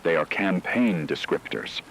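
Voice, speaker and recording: Solemn male emergency radio anchor, played by a man, low register, measured emphatic delivery, faint noisy ambience. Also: animated, vocal fry, heavily acted. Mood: grave, ominous. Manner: solemn, deliberate, short emphatic sentences. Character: grave male radio news anchor of a fictional war. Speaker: news anchor. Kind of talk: scripted emergency radio broadcast narration.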